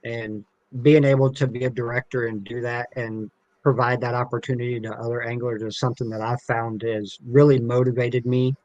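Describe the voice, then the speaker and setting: A man with an even, fairly flat delivery talks as a podcast guest. He is plain-spoken and earnest, explaining his background in a casual way. The recording is dry, with hardly any room sound. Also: medium pitch, brisk, no room sound, muffled recording. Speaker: podcast guest, tournament director. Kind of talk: casual interview talk about himself.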